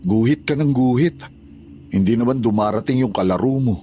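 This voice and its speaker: A man's voice: medium pitch, fairly quick, dark tone, a little hiss or hum, lightly acted. A man playing a friendly, respectful orphanage night guard in a dramatized horror story, with a polite, hesitant manner. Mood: teasing.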